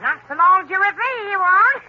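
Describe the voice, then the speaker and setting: A woman's voice: extremely high pitch, expressive, brisk, a little hiss or hum. An actress voicing a haggard, half-demented old woman who cackles and croons in a backwoods drawl, in a 1940s radio drama. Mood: menacing. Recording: dull old radio recording.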